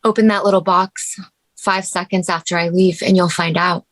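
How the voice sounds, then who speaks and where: A woman playing a brisk, cryptic delivery worker in a script table read. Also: fairly low pitch, fairly even intonation, a bit bright.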